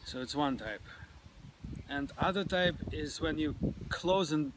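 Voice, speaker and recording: A man with a stutter, talking slowly and haltingly with many fillers in a casual podcast discussion. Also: a little high. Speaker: podcast guest. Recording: clear background noise.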